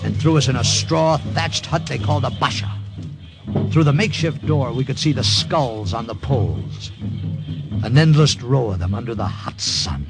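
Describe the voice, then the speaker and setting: A quick-witted American soldier played by a male actor tells his jungle adventure in old radio style. His voice is gravelly and swings widely in pitch, over dramatic music. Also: expressive, close to an everyday voice, poor audio. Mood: tense, vivid. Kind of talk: 1950s radio drama narration and acting.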